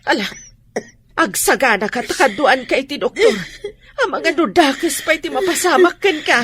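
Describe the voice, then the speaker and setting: Stern Filipino mother, fairly high voice, music underneath, radio drama. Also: lively intonation, moderate pace, a bit bright. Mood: stern concern. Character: stern, worried mother questioning her daughter.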